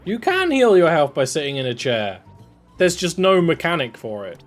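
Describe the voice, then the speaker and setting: A man's voice: big pitch swings, moderate pace, faint music behind. A young British man with a southern English accent, rambling casually while he streams a video game. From England. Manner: rambling, chatty, with sudden jumps in pitch. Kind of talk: casual gameplay commentary.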